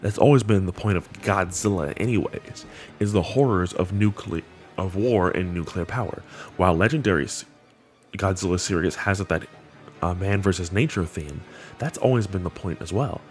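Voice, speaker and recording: A man's voice: low-pitched, normal speed. A man giving casual, enthusiastic commentary on a horror web series, with slangy wording. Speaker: video host. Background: background music.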